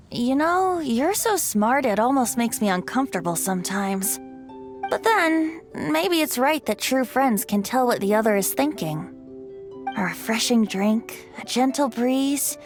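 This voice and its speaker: A woman's voice: on the high side, expressive, unhurried. A woman voicing a playful, wistful young male bard in a video game, who talks in a lighthearted, teasing way and recites his tale in rhyming verse. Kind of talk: video game cutscene voice acting. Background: game soundtrack music.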